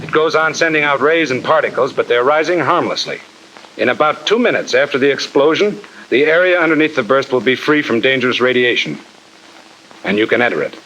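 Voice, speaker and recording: Blunt army sergeant, 1950 training film. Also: male voice, mid-range voice, expressive, a little fast, bright, forceful tone, muffled recording, slight background noise. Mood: blunt, reassuring. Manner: blunt, slangy, wisecracking. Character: brisk, tough-talking army sergeant instructor. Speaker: instructor.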